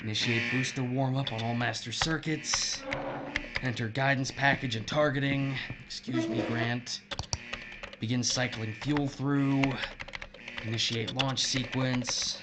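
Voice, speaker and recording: A man's voice: medium pitch, steady tone, unhurried, over loud music, natural voice. An actor playing a bitter, vengeful missile officer betrayed by his wife, intense and accusatory, in an audio drama. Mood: cold, methodical.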